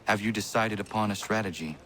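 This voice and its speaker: An actor playing a stern, earnest young warrior in a video game, blunt, serious and formal. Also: male voice, lowish voice, fairly quick, fairly bright tone, slight background noise, lightly acted. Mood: stern, questioning. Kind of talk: game cutscene dialogue.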